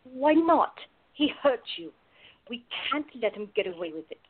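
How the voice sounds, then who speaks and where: A woman acting a tender, protective woman in love, quietly insecure, in a radio play. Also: fairly high pitch, big pitch swings, normal speed, slightly rough, narrow, tinny audio. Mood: protective, firm.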